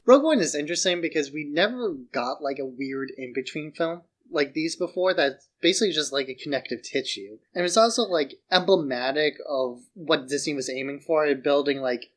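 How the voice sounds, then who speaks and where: Male podcast co-host, slightly high voice, relaxed casual film talk. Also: a little fast, hardly any pauses.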